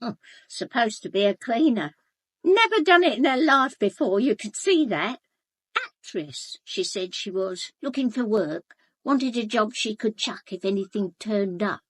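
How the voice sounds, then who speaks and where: An actress playing a gossipy, blunt English housekeeper in a British radio drama, chatty, colloquial and confiding. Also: female voice, medium pitch, animated, a little slow, breathy edge, dry recording. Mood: knowing, gossipy. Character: gossipy, blunt, sharp-eyed housekeeper, a working-class woman. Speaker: actor in a radio murder mystery.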